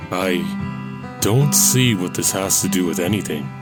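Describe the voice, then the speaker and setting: A man voicing a guilt-ridden young loner, very deep. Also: measured pace. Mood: subdued. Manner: hesitant, subdued. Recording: audio drama with music underneath.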